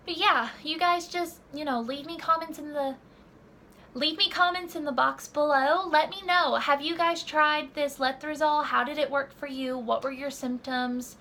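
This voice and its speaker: A woman's voice: high voice, steady tone, a little slow, bright, forceful tone. Young woman, rambling vlog chat, lots of fillers, camera mic, faint hiss. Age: 20s. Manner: rambling, many fillers and restarts. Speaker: vlogger. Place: home room.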